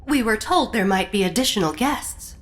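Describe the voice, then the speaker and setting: A woman's voice: medium pitch, animated, moderate pace, natural voice. Woman as gracious lady with lilting voice. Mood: gracious, apologetic. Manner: courteous, smoothing, refined. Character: gracious, polished hostess-like lady dinner guest. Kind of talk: audio drama acting.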